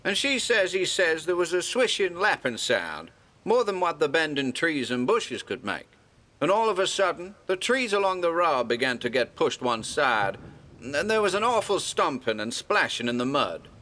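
A man plays a worried country villager in a horror audio drama, rushing through his account in folksy rural speech. His voice is fairly high and very lively. It has a bright, sharp tone. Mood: urgent.

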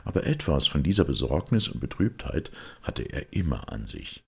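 A man reading a detective story aloud as an audiobook narrator, measured and expressive, with a gravelly, husky voice. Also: very deep voice. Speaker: audiobook reader.

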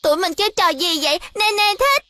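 A woman voicing a bossy, excitable little girl in a dubbed cartoon, speaking insistently. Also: very high-pitched, fast talker, a loud crowd. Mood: excited. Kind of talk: dubbed children's cartoon acting. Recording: music behind the voice.

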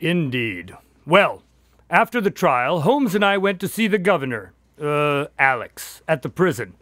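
A man's voice: fairly high pitch, very animated, measured pace, fairly bright tone, no room sound. A man playing a genial, polite doctor and storytelling sidekick in an audio drama. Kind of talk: audio drama dialogue and narration.

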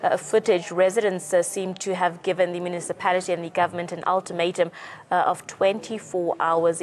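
A South African woman in her thirties presenting live news as a studio anchor. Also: fairly low pitch.

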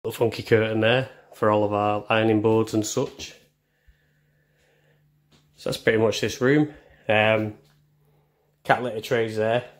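A man's voice: on the low side, level tone, a little slow, long pauses. A young man giving a casual house tour, chatty and self-deprecating, as he films on a handheld phone. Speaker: vlogger. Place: indoors, walking through rooms of a house. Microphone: handheld phone camera.

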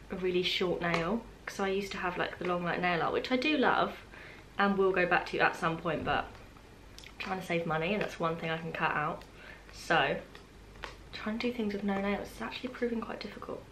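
A woman's voice: medium pitch, background noise. A woman in her twenties with a British accent, chatting informally to the camera as a vlogger, drifting into little asides. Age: 20s. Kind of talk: casual chat to camera, unboxing. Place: open-plan living room at home, indoors.

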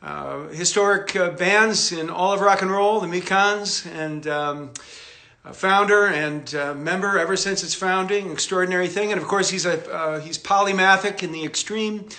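A man in his sixties hosting a livestream from a cluttered room at home, speaking earnestly and haltingly with many fillers. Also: high voice, bright, forceful tone. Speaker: host. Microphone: phone camera.

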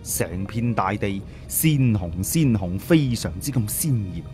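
A man's voice: lowish voice, very animated, a little slow, darkish tone, soft background music. A man narrating a serialized story in a scripted storytelling style, with colloquial asides. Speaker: audiobook narrator.

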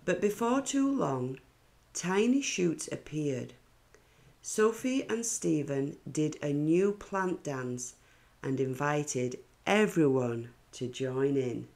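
A woman reading a children's picture book aloud in a deliberate, expressive storytelling style. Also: sits low, gravelly.

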